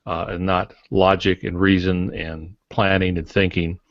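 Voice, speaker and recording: An older man speaks in a low register, offering advice like a folksy mentor on a podcast. He leans on homespun figures of speech. The sound is close and dry with a somewhat muffled top end. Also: flat delivery, unhurried, no room sound. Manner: folksy, given to homespun metaphors. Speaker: podcast co-host and writing mentor. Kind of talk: casual advisory podcast talk. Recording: dull, lo-fi, dry podcast audio.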